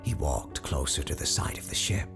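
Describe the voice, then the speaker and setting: Grave paranormal radio host played by a woman, very low-pitched, measured and solemn, music underneath. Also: sing-song, heavily acted. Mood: solemn, eerie. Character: Dr. Ryder, grave supernatural radio show host and storyteller. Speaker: host of a supernatural advice radio show. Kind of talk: scripted audio drama narration.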